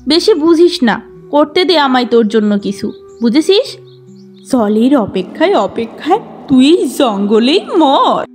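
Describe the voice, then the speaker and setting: A woman's voice: high-pitched, big pitch swings, unhurried, a little mellow, soft background music. A woman voicing a jealous, scheming young village woman, a bossy and spiteful elder sister in a cartoon tale. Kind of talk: cartoon fairy-tale acting.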